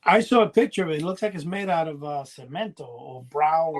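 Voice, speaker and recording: A man's voice: on the high side, lively intonation, fairly quick, a crowd in the background. An older man from Cuba chatting and telling stories as a podcast host, in a rambling, self-interrupting way. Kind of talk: casual conversational storytelling.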